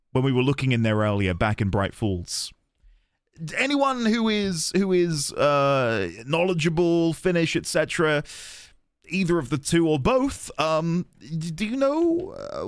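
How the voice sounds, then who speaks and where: A man live-streaming a video game, chatting excitedly with viewers, thanking them and stumbling over restarts as he talks. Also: on the high side, no room sound, studio quality. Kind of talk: casual live-stream chat and commentary.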